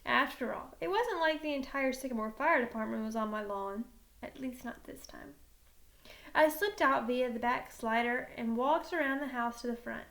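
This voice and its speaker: Expressive woman reading aloud, high voice. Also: normal speed, gravelly. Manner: dramatic, expressive storytelling. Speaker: teacher reading a book aloud. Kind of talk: animated children's novel read-aloud.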